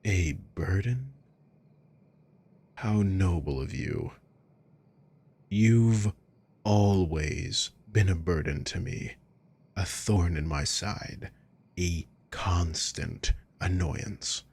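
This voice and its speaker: A man playing a sadistic, controlling villain in an ASMR roleplay, breathy and husky, speaking with slow, condescending, taunting deliberation. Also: deep voice, a little mellow, full character voice. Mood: menacing, possessive. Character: sadistic, controlling male villain toying with a captive.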